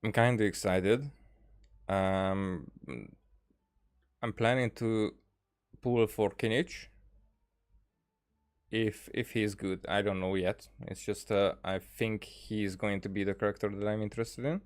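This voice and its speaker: Slow, halting male game streamer, lowish voice. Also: steady tone. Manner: rambling, halting, trails off mid-thought. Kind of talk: casual livestream chat over gameplay.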